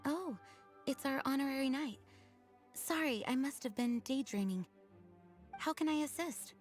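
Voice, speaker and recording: A woman's voice: high voice, measured pace. A woman playing a composed, courteous knight commander in an anime video game. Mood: weary, courteous. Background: music.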